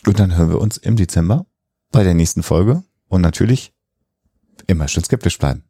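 A man's voice: sits low, expressive, brisk, dark tone, husky, no echo, clean studio sound. A man talking casually as a podcast host.

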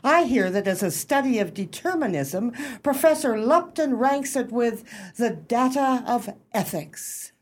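Pompous, high-voiced society hostess. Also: female voice, lively intonation, unhurried, hardly any pauses. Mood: lofty. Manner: formal, pompous, precise. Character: proud, bossy society hostess of a ladies' club. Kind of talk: stage-style radio play acting.

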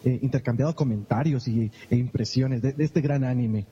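A man chatting casually as a podcast guest, speaking haltingly and repeating words. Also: medium pitch, mellow. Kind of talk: casual chat. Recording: dull, noisy, echoing hall.

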